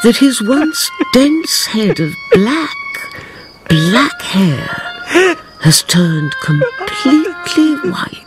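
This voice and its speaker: Slow, sweeping female storyteller. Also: on the high side, very animated, over music, exaggerated voice. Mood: grave, dramatic. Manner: deliberate, suspenseful, theatrical. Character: grave, dramatic female storyteller narrating revenge. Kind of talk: scripted dramatic narration.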